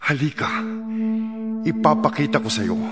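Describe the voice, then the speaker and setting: A man's voice: fairly high pitch, very animated, normal speed, over loud music. A man with a Filipino accent playing an authoritative angel, stately and declamatory, in a Bible audio drama. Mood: stern, inviting. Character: authoritative male angel guiding a visionary to judgment.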